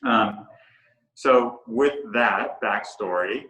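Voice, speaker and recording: A middle-aged man, the co-founder of a coworking space, talking casually and haltingly with many fillers and restarts. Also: lowish voice, bright, forceful tone. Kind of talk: casual talk on a virtual tour. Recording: echoey room.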